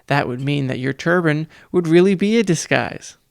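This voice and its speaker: Clever storybook boy, earnest and pointed, played by an adult male reader, lightly raised pitch, dry close recording. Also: expressive, normal speed, vocal fry, no room sound. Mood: forced joking. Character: earnest clever boy, orphan sibling, bluffing with forced cheer.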